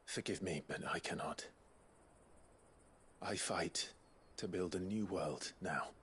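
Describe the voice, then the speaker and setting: Deep, solemn young nobleman. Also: fairly bright tone, a little hiss or hum. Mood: solemn resolve. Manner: solemn, measured, determined. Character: earnest, resolute young nobleman and freedom fighter. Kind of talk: dramatic video game acting.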